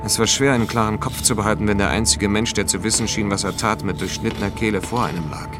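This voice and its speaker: An actor playing a brooding, world-weary investigator, narrating his story in the first person with a creaky voice in a radio drama. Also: on the low side, steady tone, fast talker, close to an everyday voice. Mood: somber. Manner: brooding, literary. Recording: music playing behind the voice.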